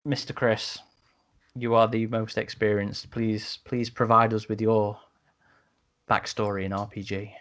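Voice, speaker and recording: A man's voice: lowish voice, flat delivery, measured pace, a bit bright. A man chatting casually as one of a podcast panel.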